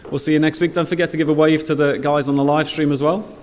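A man in his thirties leading prayer and dismissing the congregation from a stand mic in a church hall, relaxed and informal. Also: a little high, fast talker. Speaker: church leader. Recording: long hall reverb, faint noise.

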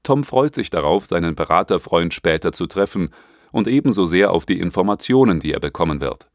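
A man narrates an audiobook from a script in a low voice. The sound is dry, with hardly any room to it. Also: animated, fairly quick, no room sound.

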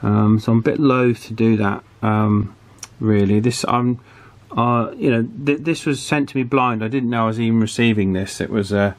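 A man's voice: lowish voice, fairly even intonation, normal speed. A man giving an informal hands-on demonstration, hesitant and thinking aloud as he works. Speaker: radio repair hobbyist presenting. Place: indoor electronics workbench.

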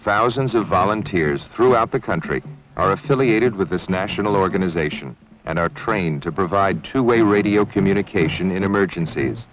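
A man reading formal, measured scripted narration as a documentary narrator. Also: low-pitched, poor audio. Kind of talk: scripted newsreel-style narration. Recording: 1970s film soundtrack with music. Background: background music.

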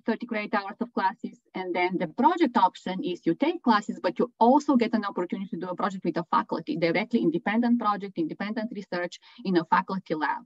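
A woman's voice: mid-range voice, animated, quick pace, few pauses. Lady prof explaining her program, earnest, muffled call sound. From Bulgaria. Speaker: engineering professor. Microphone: video call. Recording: dull video call audio.

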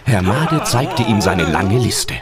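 Low, highly animated male storyteller. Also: slow pace, crowd noise. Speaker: narrator. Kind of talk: scripted storytelling narration for a children's audio drama.

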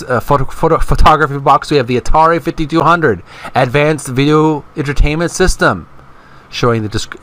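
Man, middle-aged, mid-pitched voice, video host, informal commentary, halting delivery, repeated phrases. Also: fairly bright tone. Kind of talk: informal read-along commentary for viewers.

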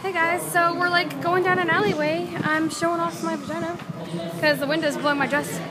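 A woman's voice: very high voice, steady tone, fairly quick. A young woman chatting casually to her phone as she vlogs outdoors in a city. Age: young adult. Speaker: vlogger. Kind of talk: casual handheld vlog chat. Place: outdoors on busy city streets. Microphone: phone held at arm's length. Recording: noisy street with crowd chatter.

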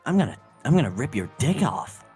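A man's voice: medium pitch, very animated, a little fast, a little mellow, natural voice. A man voicing a sassy, crude, erratic cartoon hedgehog in an improvised comedy fan dub, sarcastic and mock-dramatic. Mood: mock outrage. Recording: music behind the voice.

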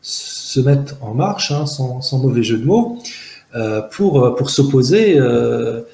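A man's voice: mid-range voice, lively intonation, slow, vocal fry, dark tone. Hesitant, earnest man with echoey sound. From Algeria. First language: Arabic. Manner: hesitant, many fillers and restarts, earnest and analytical. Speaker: interview guest. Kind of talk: reflective testimony in an interview. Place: outdoors in a park-like garden by buildings.

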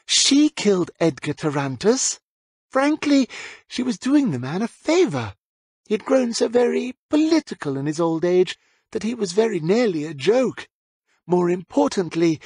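A man's voice: sits high, very animated, measured pace, darkish tone, husky, dry recording, voice pushed up, heavily acted. A man voicing a gleeful, cruel aristocratic villainess in an audiobook, condescending and theatrically polite. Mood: delighted mockery. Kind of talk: audiobook character voice.

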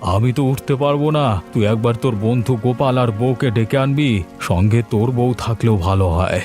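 A man's voice: medium pitch, normal speed, darkish tone, faint music behind, close to an everyday voice. A man voicing a blustering, heavyset village gang boss in a cartoon fairy tale, with a melodramatic delivery. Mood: subdued pleading. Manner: threatening, melodramatic.

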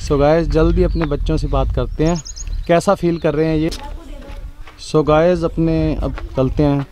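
A man, talking to his viewers in an upbeat, chatty vlog style. His voice sits fairly high. He is recorded outdoors on a farm, with faint noise in the background. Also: fairly even intonation, a little slow, dark tone. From northwest India. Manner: chatty, upbeat, keeps addressing viewers as guys. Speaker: travel vlogger host. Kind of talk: casual vlog narration.

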